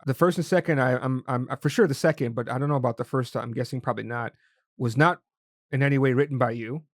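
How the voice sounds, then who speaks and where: A man's voice: medium pitch, fast talker, dry recording, clean studio sound. A man hosting an interview podcast, chatting loosely and thinking aloud as he sets up questions. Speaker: podcast host. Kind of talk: casual interview chat.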